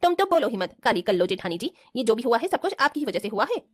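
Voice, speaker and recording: A woman's voice: on the high side, big pitch swings, fast, slightly rough, no echo. A woman playing spoiled, work-shy young rich daughters-in-law in a cartoon family drama, in a whiny, complaining manner. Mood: annoyed, complaining.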